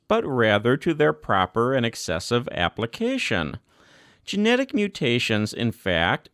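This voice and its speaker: A man narrating a formal document aloud in a measured, scripted reading style. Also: mid-range voice, no echo, studio quality. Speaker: audiobook narrator.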